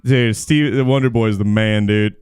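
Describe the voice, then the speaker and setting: A man's voice: fairly low pitch, fairly even intonation, normal speed, a little mellow, clean tone, no room sound, studio quality. A man hosting a podcast in a casual chat, talking in loose slang with swearing and frequent laughter. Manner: slangy and profane, often laughing. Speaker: podcast host.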